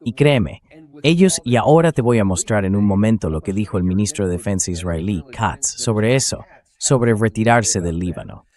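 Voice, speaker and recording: A man with a fairly low voice delivers political commentary as a show host. The voice is a synthetic translated dub, and he keeps putting pointed, incredulous questions to the listener. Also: lively intonation, moderate pace, darkish tone.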